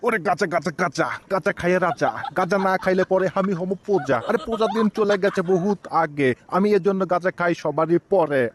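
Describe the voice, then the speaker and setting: A cheeky cartoon layabout uncle, acted by a man, high-voiced and quick. He boasts and grumbles in colloquial banter with a northern Bangladeshi accent. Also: natural voice. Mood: sing-song. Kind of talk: comic cartoon dialogue in rural dialect.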